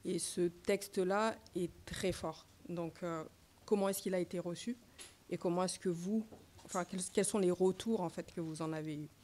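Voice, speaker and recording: A woman journalist from Congo politely asking a question at a press conference, pausing often with hesitant fillers as she speaks into a standing microphone. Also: on the low side, steady tone, a little mellow, a little hiss or hum.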